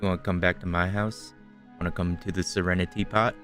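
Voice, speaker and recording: A man's voice: sits low, level tone, fast, over loud music. A young man giving casual, laid-back gaming commentary with joking, profane asides. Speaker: streamer.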